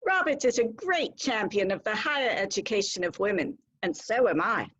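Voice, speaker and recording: Earnest Victorian hostess for stage reading, acted by a woman; polite, lively intonation, lo-fi recording. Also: medium pitch, moderate pace, slightly rough. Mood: polite, reserved. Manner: formal, polite. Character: earnest, proper, reserved Victorian wife and hostess. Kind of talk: stage drama dramatic reading. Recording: slightly dull, lo-fi sound.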